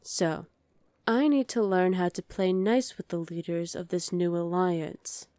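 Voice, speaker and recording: A woman's voice: lowish voice, measured pace, mellow, no room sound, clean studio sound, natural voice. A woman playing a dominant evil sorceress queen in an ASMR roleplay, commanding and condescending with cool menace. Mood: scheming. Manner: commanding, condescending, coolly threatening.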